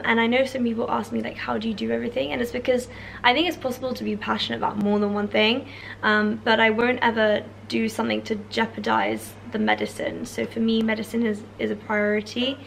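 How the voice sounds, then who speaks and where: A young English woman in her twenties, husky from a cold, chatting casually through viewer questions in a room at home. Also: on the high side, animated, brisk, a bit bright, slight background noise, low-quality recording. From England. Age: 20s. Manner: chatty, many fillers like "like" and "um". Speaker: YouTuber answering viewer questions. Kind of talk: casual Q&A chat. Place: bedroom or living room, indoors. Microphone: camera in front, seated close.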